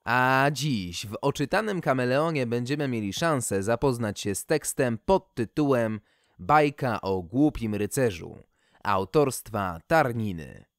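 A man's voice: mid-range voice, expressive, unhurried, no echo, studio quality. A man reading a humorous fairy tale as podcast host, in a theatrical, folksy storytelling manner.

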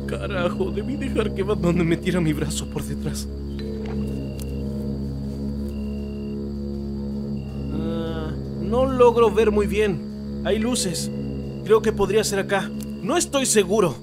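A man voices a high-pitched, talkative cartoon villain, excitable and a little cowardly. His wordy musings play over prominent music. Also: a little slow. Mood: wary, reluctant. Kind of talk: animated fan film voice acting. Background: loud music.

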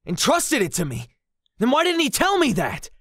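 A man's voice: extremely high pitch, huge pitch swings, normal speed, a bit bright, no echo, clean studio sound, heavily acted. A man playing a sullen, resentful teenage prince in an audio drama. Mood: bitter. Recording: music under the voice.

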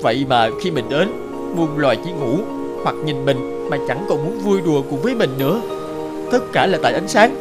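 A man voicing a resentful, lonely young spirit of night in an animated fairy tale, brusque and emphatic. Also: a little high, moderate pace, natural voice. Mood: bitter, lonely. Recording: prominent music under the voice.

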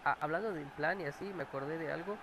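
A Mexican man with a cold talks casually over his own match, exclaiming and cursing at each play. His voice sits a little high. A clip-on mic close to his mouth picks him up, with clear noise behind him. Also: quick pace. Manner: chatty, exclaims and swears at plays. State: has a cold. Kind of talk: casual gameplay chat. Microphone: clip-on mic near his mouth.

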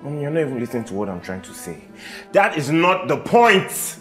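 A woman's voice: low-pitched, very animated, a little fast, soft background music. A woman playing a frustrated young son who pleads with his mother. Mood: pleading.

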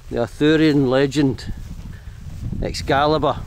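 A Scottish man talks to his camera while walking hill paths outdoors. He rambles in a casual, chatty way and corrects himself mid-sentence. His pitch sits at an ordinary middle level. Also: fairly even intonation, measured pace, slight background noise. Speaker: hiking vlogger.

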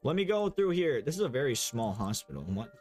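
A man's voice: medium pitch, sing-song, fairly quick, a little mellow, soft background music. A young man chatting casually and rambling while playing a game on stream, swearing often, on a headset. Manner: rambling, swears, laughs a lot. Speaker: gaming streamer. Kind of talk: casual let's-play chat.

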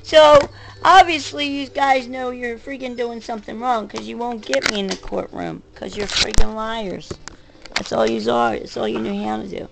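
A woman's voice: on the high side, animated, normal speed, gravelly, background noise. A middle-aged woman, talking casually and bluntly in a rambling, aggrieved way to camera at home. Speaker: home vlogger. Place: rooms of a home, indoors.

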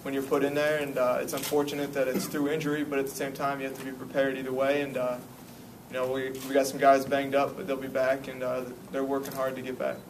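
Monotone young man at a press table. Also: mid-range voice. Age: young adult. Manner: steady, measured team talk with "uh" fillers. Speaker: college basketball player, senior. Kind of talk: postgame press conference answers. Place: press conference room. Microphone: table mic, picked up from a distance. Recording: distant mic, noisy room.

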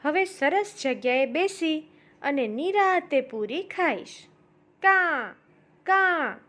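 A woman's voice: high voice, expressive, takes it slowly, a bit bright, voice pushed up, heavily acted. A woman voicing a vain, delighted male crow with a cawing, self-pleased manner in a children's fable.

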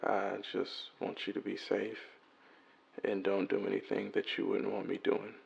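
A man playing a gravelly jealous boyfriend. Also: medium pitch, measured pace, lo-fi sound. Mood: pleading. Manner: swearing, pacing between panic and anger. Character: jealous anxious boyfriend waiting up all night. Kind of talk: acted skit in a song.

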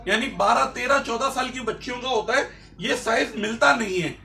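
A man's voice: very high-pitched, fairly quick, pushed and bright, distant mic, soft background music. A middle-aged man, a fabric seller explaining his stock in a matter-of-fact way while showing items. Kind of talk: casual product talk. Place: small clothing shop, indoors.

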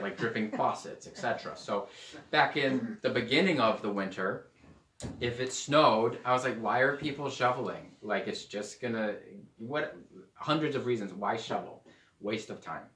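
A young man preaching a sermon at a whiteboard, lively and asking his audience questions. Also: medium pitch, distant mic. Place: indoor room with whiteboard and podium. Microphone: far camera. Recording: roomy echo, voice away from the mic.

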